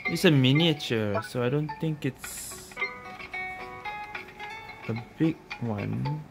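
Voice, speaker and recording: A woman's voice: very deep voice, slow, gravelly. A woman streaming a game with casual commentary, murmuring to herself as she plays. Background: game music.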